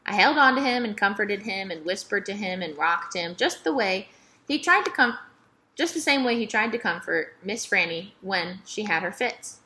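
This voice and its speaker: Animated, bright-voiced woman reading aloud. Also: medium pitch, normal speed. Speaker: read-aloud narrator. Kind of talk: expressive children's novel read-aloud.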